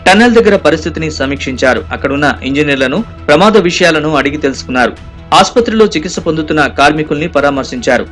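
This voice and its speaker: A man's voice: on the high side, fairly bright tone. A man reading scripted news narration in a formal, urgent manner. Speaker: news reporter doing voice-over.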